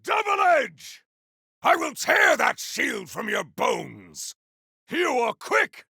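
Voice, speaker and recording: Zealous video game champion: high-pitched for a man, raspy, bombastic and theatrical, swooping boldly through boastful combat barks. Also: wildly swooping pitch, takes it slowly, bright, forceful tone, no room sound, shouting, studio quality, full character voice. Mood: wrathful, exultant. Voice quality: gravelly, raspy. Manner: bombastic, archaic, theatrical declamation. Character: zealous, boastful male warrior champion, grandiose and battle-hungry.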